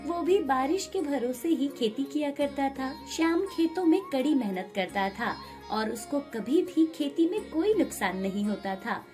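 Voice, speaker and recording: Woman narrator, scripted storybook delivery, unhurried pace, fairly high voice, background music. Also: animated, a little mellow.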